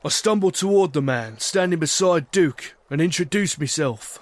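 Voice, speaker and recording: A man's voice: on the high side, expressive, moderate pace, natural voice. A man voicing a swashbuckling pirate captain who tells his sea tales in salty, theatrical pirate talk. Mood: reflective storytelling. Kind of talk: dramatic scripted storytelling with voice acting.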